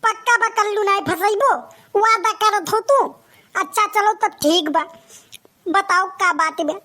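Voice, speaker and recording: Casting: woman playing grumbling young male sidekick, comic cartoon, sharp and very high, Awadhi accent, always doubtful. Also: measured pace, heavily acted. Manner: doubtful, complaining.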